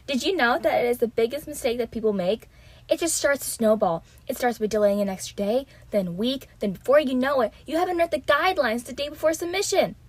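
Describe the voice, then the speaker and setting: A woman plays an eager young student in a radio drama. The voice is high and swoops with excitement, earnest and chatty, recorded close and dry. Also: no echo. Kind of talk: old-time detective radio drama.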